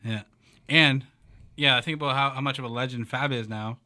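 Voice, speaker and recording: Young male podcast co-host, bright tense tone, eager "yo" starts, close dry studio sound. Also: mid-range voice, moderate pace, dry recording. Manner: excitable, starts lines with "yo", stammers in restarts. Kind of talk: casual chat. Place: small radio studio. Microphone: studio mic on arm, headphones.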